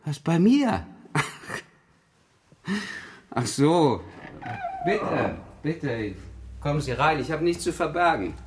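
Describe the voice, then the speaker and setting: Feindseligen nationalistischen Vater. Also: male voice, fairly high pitch, big pitch swings, takes it slowly, pauses often, slight background noise. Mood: curt. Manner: defensive, evasive, then shouting. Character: hostile middle-aged nationalist father. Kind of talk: radio crime drama.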